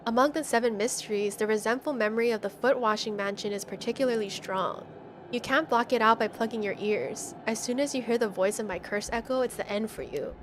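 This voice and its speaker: A woman voicing a cold, smugly threatening man in a horror game, speaking in a measured, ominous way. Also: fairly high pitch, a little hiss or hum, natural voice. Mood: cold, menacing.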